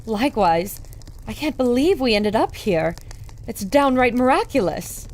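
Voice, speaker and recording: An inquisitive, earnest adventuress played by a woman for a pulp audio drama. Her voice sits high and moves expressively, at an unhurried pace. She sounds full of wonder. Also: expressive, darkish tone. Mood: curious.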